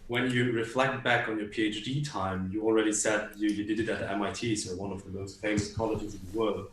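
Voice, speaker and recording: A man interviewing a guest, asking measured, plain questions. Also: on the low side, steady tone. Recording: roomy echo, faint background hum.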